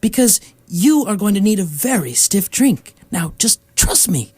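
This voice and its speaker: A woman playing a brisk, insistent, deadpan alien posing as a human friend in a radio comedy, with a husky voice. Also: mid-range voice. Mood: urgent, insistent. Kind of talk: radio comedy drama acting.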